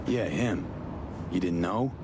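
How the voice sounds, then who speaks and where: An observant game mercenary, sparing with words. The voice is on the low side and understated, set against clear noise. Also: male voice, takes it slowly. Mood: focused, low-key. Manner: terse, low-key.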